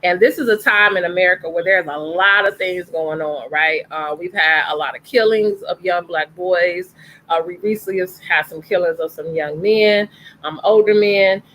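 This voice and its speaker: A woman's voice: lowish voice, moderate pace, a bit bright, slight background noise, rough recording. An earnest woman hosts a family story time online, talking over a video call from home. Her tone is encouraging and conversational. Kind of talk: casual chat and introduction.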